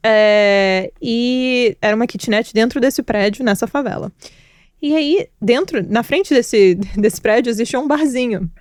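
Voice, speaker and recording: Young woman guest on a podcast, from the former soviet union, pitch a bit high, open storyteller, dry close sound. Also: unhurried, no room sound. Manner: candid, detailed storytelling. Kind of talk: casual conversational interview.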